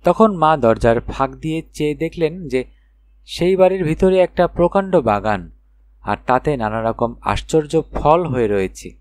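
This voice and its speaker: A man's voice: fairly high pitch, animated, moderate pace, dry recording. Male storyteller in a dry recording. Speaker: audiobook narrator. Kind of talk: expressive children's fairy-tale narration.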